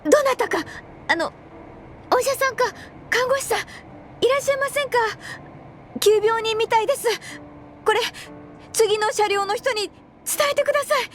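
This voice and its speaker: A woman playing an anxious, pleading passenger in a radio drama, urgent and halting as she calls out, with faint noise behind. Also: very high voice, pitched above the performer's own voice.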